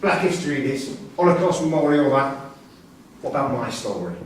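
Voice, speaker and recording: A man's voice: medium pitch, expressive, moderate pace, lightly acted. A man playing a volatile, resentful prisoner with a confrontational, menacing manner in a staged drama reading. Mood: aggrieved. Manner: confrontational, aggrieved. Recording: very echoey hall, distant mic, hiss.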